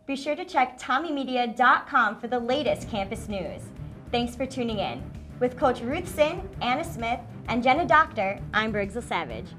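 A woman's voice: a little high, sing-song, moderate pace, fairly bright tone, soft background music. A young woman hosting a student sports show from a studio desk, reading her lines upbeat on a lapel mic. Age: young adult. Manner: upbeat, reads from a script. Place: small TV studio set, indoors.

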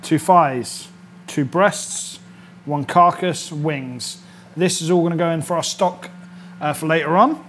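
A man's voice: a little high, a little slow, a bit bright, a little hiss or hum. A British man in his thirties, a chef talking chattily through an informal cooking demonstration, checking in as he goes. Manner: chatty, instructional, often checks with "all right?". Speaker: chef presenting a cooking series. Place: indoor kitchen studio set.

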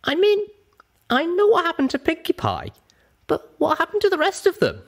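A man voicing a small, earnest, anxious young female pony in falsetto for a story reading. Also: wildly swooping pitch, normal speed, heavily acted. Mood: urgent questioning. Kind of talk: audiobook character voice.

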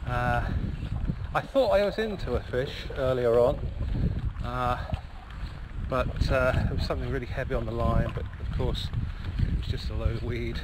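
A man from Cornwall chatting reflectively to his vlog outdoors on a river bank, musing and often pausing mid-sentence. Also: medium pitch. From Cornwall, England. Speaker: fishing vlogger, novice salmon angler. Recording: clear outdoor background noise.

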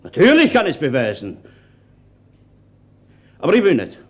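A proud middle-aged Austrian businessman in a radio crime drama. He sounds defensive and guarded, with a very animated pitch that shows his indignation. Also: moderate pace, slightly rough, heavily acted. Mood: defiant. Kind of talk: radio play acting.

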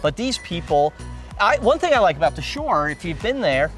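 Animated man, outdoor site interview. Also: sits high, normal speed, faint music behind. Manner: animated, talks with hands. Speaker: local newspaper publisher. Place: outdoors at a waterfront construction site.

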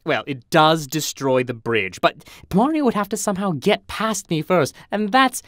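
Man voicing a blustering, wildly animated monster boss. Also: on the high side, moderate pace, no echo, clean studio sound. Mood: smug, defensive. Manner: blustering, sputtering, defensive. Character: huge blustering male turtle monster boss, dim and vain. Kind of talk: comedic cartoon acting.

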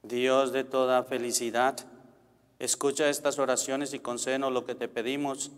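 Priest reciting evenly in a reverberant church. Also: male voice, medium pitch, flat delivery, smooth. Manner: solemn, measured, formulaic delivery. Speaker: priest leading Mass. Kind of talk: liturgical recitation and prayer. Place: large church sanctuary, indoors. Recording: reverberant church, background noise.

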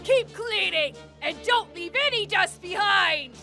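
A woman's voice: extremely high pitch, lively intonation, unhurried, bright, forceful tone, faint music behind, heavily acted. A woman voicing a vain, bullying lady pirate captain in a manga dub, haughty and commanding. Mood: barking orders. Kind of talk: anime-style manga dub acting.

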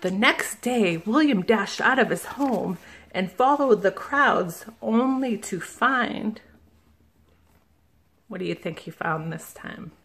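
Slow, gentle woman reading to children. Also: mid-range voice, lively intonation. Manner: slow, gentle and questioning, pausing to ask listeners. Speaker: children's librarian. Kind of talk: reading a picture book aloud to children. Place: indoors at a table, camera above the book.

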